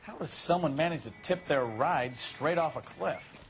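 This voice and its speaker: An actor with an American accent playing a chatty, wisecracking 1940s police detective, slangy and gossipy, in a video game. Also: male voice, fairly high pitch, expressive, moderate pace, a bit bright, soft background music. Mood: chatty, wry.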